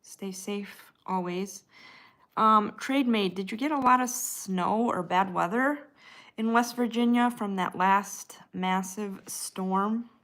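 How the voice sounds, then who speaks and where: A woman's voice: mid-range voice, takes it slowly, a bit bright. Chatty Midwestern American woman livestreaming. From United States. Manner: chatty, addresses viewers by name, trails between comments. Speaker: livestream host reading and answering viewer chat. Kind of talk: casual live chat with viewers.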